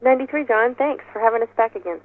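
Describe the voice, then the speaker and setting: A woman's voice: on the high side, quick pace, dry recording. A woman speaking as an interview guest over a telephone, explaining things conversationally. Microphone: telephone call. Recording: tinny narrow telephone line.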